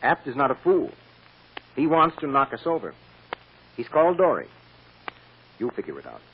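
Radio drama defense attorney: firm, blunt, deliberate, medium pitch with lively swings, muffled vintage sound. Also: male voice. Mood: blunt, pressing. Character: firm, shrewd male defense lawyer. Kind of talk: old-time radio drama acting. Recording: muffled, hissy old radio recording.

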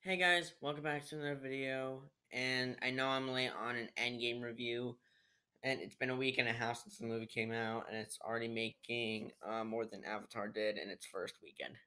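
Teenage male, smooth clear voice, mid pitch, casual vlog review, hesitant, rambling, trailing off, home webcam mic. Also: fairly even intonation, moderate pace, sharp tone. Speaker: amateur video reviewer. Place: living room at home, indoors.

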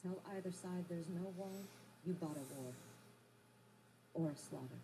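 A woman playing a stern, commanding police superior in a film drama, measured and authoritative. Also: lowish voice. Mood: grave. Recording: noisy film audio from TV.

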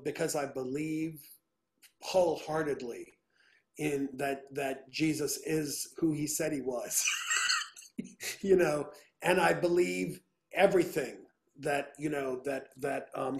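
Middle-aged male composer, earnest and animated, slightly high voice, webcam audio. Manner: earnest, animated, many restarts. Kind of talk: casual video call conversation. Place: home room with piano. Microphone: video call webcam.

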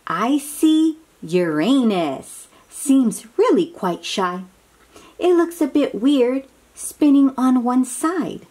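A woman hosting a picture-book read-aloud for young children, exclaiming and asking coaxing questions in an animated manner. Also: high-pitched, takes it slowly.

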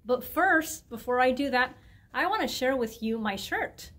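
Middle aged woman, high voice, reading a kids book out loud nice n deliberate. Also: animated. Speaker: guest reader, mayor. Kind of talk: reading a children's picture book aloud. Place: indoor library room with bookshelves.

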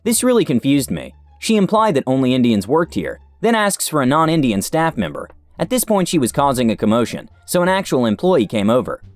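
Lively-voiced man reading stories. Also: mid-range voice, fairly quick, hardly any pauses, no room sound, faint music behind. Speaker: narrator reading forum stories. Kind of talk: scripted narration.

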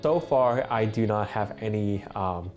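A man's voice: fairly low pitch, unhurried, a little mellow, highs cut off, soft background music. Young man, careful English, native Cantonese. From Hong Kong. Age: young adult. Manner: thoughtful, many fillers, careful English. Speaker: interviewee, bakery worker and student. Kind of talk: documentary interview. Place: bakery kitchen indoors.

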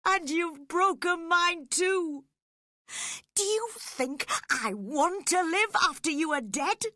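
A brooding, passionate man acted by a woman narrator from a storybook romance. He speaks slowly and dramatically, torn between wild love and anguish. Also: sits low, fairly even intonation, fairly bright tone, dry recording, clean studio sound, full character voice. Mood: wild reproach. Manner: impassioned, dramatic delivery.

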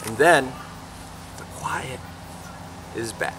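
A young man reading a children's picture book aloud as a teacher, expressively and deliberately, pausing between phrases, recorded outdoors a little way from the camera. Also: mid-range voice, low-quality recording. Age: young adult. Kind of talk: children's story read-aloud. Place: outdoors in a fenced backyard garden. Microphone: camera a few feet away. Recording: faint steady outdoor background noise.

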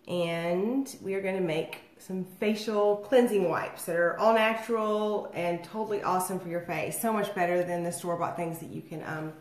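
A woman, getting over a cold and a little nasal, chatting rambly on a home livestream while mixing drinks at her table. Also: mid-range voice. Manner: rambling and chatty, many fillers. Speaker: host of a home cocktail livestream. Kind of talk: casual livestream chat. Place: dining room at home, indoors. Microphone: phone or webcam across the table. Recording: echoey room.

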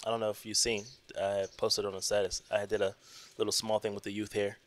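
A middle-aged man hosting a podcast, calm and affirming, speaking into a studio boom mic. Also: brisk. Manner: calm, affirming, fills in with frequent mm and yeah. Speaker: podcast host. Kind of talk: casual podcast chat. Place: study room lined with bookshelves. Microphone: studio mic on boom arm, headphones on.

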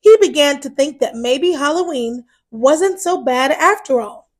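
A woman reading a children's picture book aloud as storyteller, expressive and deliberate. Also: high voice.

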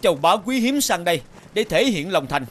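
A man playing an anxious, devoted king in an animated fairy tale, speaking in a formal, courtly way. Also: sits high, brisk, slight background noise. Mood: respectful, pleading.

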